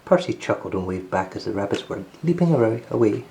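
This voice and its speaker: A man narrating a children's storybook as host, in an expressive read-aloud style. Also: on the low side, fast, creaky, a little hiss or hum, poor audio.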